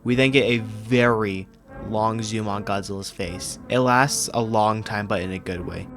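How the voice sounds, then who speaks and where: A man's voice: fairly low pitch, fairly even intonation, a little slow, a bit bright, soft background music. A man hosting a video review, narrating and commenting from a script. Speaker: YouTube host reviewing horror videos.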